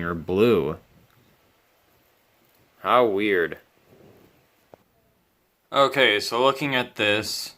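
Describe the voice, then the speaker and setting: A man giving casual, unscripted commentary as he repairs a vintage radio, thinking aloud in a halting, musing way. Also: lowish voice, bright, forceful tone.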